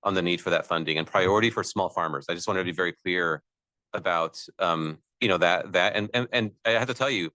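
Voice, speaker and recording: A man with a naturally loud voice, an agency official speaking at length at a public meeting, with frequent fillers and restarts. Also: low-pitched, brisk, dry recording. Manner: expansive, talks at length with many fillers. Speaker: air district official.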